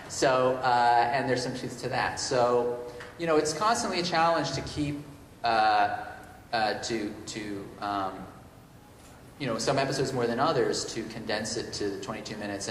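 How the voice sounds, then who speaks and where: A man answering as a TV writer on a convention panel, thinking aloud in a halting way with many restarts. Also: medium pitch, fairly bright tone. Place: large convention panel room. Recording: echoey hall with noise.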